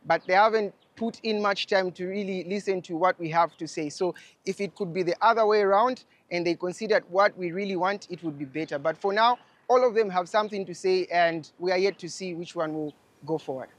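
A man's voice: sits high, fairly quick, no echo. A young man giving his opinion in a street interview. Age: young adult. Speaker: member of the public interviewed.

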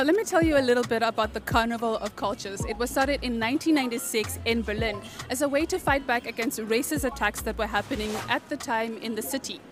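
A woman presenting a festival report as host, upbeat and enthusiastic. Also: a little high. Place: outdoor street festival. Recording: festival music in the background. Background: music.

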